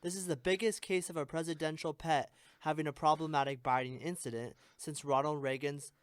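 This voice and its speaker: A man with a fairly high, very clean voice co-hosts a spoof news update. He reads scripted joke headlines haltingly, tripping on words, in a dry-sounding recording. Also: fairly even intonation, dry recording. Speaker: sketch comedy news co-host. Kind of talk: scripted parody newscast.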